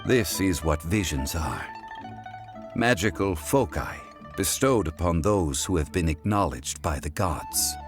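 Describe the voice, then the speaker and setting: An actor playing a calm, dignified ancient god living as a mortal in a game, speaking in a measured, formal and reflective manner. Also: male voice, low voice. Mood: grave, explanatory. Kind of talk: scripted game cutscene voice acting. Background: soft game music.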